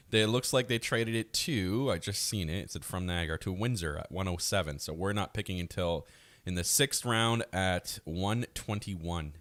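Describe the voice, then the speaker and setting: A man's voice: low voice, moderate pace, a bit bright, no echo. A man chatting casually as a co-host and analyst on a hockey podcast. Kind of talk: casual sports podcast chat.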